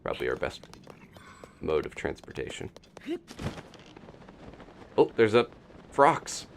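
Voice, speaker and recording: Deep-voiced man casually commentating a game. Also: big pitch swings, slow, creaky, a little hiss or hum. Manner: thinking aloud, playful reactions to the game. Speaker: gaming live streamer. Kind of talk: casual live gameplay commentary.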